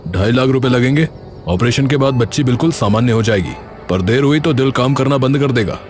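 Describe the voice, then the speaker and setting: Man as a grave, firm doctor over loud music. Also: mid-range voice, expressive, fairly quick, lightly acted. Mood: grave warning. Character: grave, urgent male doctor warning a parent. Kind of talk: animated story character dialogue.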